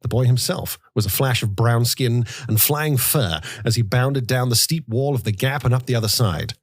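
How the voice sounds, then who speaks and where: A man reads literary fiction as an audiobook narrator. His mid-pitched voice is husky, and he tells it in a measured, dramatic way, recorded close and dry. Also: dry recording.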